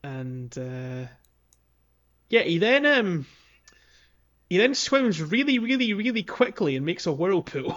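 A man hosting a podcast, talking casually through a game walkthrough in a halting, explanatory way. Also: high voice, sing-song, a bit bright, dull audio. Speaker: podcast host.

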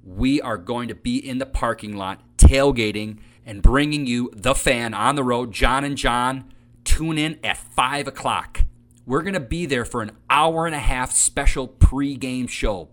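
A man's voice: mid-range voice, measured pace. American man hosting an upbeat podcast. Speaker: podcast host. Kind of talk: casual promotional podcast introduction.

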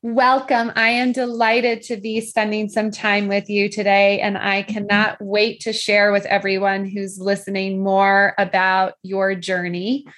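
A woman's voice: mid-range voice, level tone, a little slow, few pauses, a bit bright. A woman hosting a podcast interview, reading an upbeat introduction and then asking friendly questions. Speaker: podcast host.

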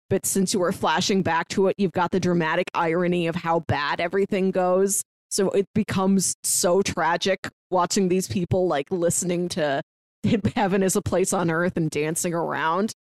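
A woman's voice: lowish voice, no echo. A woman co-hosting a podcast, talking casually and chattily as she reviews stories. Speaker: podcast co-host.

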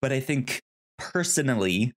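Slow-paced man, halting podcast co-host. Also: mid-range voice, animated. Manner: halting, stammering with repeated false starts. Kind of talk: casual movie discussion.

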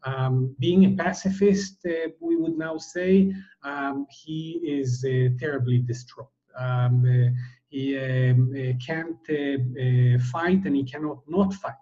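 A middle-aged man, a Hebrew speaker, answering interview questions haltingly, full of fillers and restarts. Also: mid-range voice, warm tone. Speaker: guest, translator. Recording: dull, muffled sound.

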